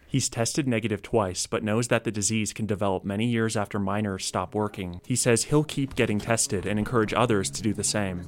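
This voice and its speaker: A male radio reporter narrating a scripted news story in a measured, even way. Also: lowish voice.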